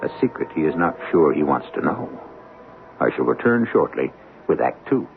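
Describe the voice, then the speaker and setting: An actor as a grave host narrating radio horror in a dramatic, measured storytelling manner. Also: male voice, very deep voice, sing-song, vocal fry, exaggerated voice. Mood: suspenseful. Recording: narrow-band old radio, music behind.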